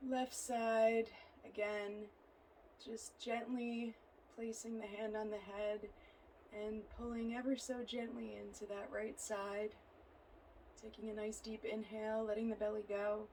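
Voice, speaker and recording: Woman guiding yoga in an even tone. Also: mid-range voice, slight background noise, poor audio. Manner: soothing, gentle, unhurried phrasing. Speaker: yoga and meditation instructor. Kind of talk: guided yoga and relaxation instruction.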